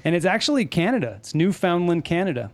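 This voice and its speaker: A man with an American accent hosts a casual podcast. His pitch moves around a great deal, and his manner is jokey and sweary. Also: very animated, fast talker. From California, United States. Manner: foul-mouthed, jokey. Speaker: podcast host. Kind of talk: casual chat.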